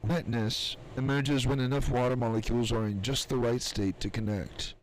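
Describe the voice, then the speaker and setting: A man acting as a thoughtful, philosophical scientist in an audio drama, speaking in a measured, explanatory, reflective way. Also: medium pitch, fairly even intonation, slight background noise, natural voice, low-quality recording.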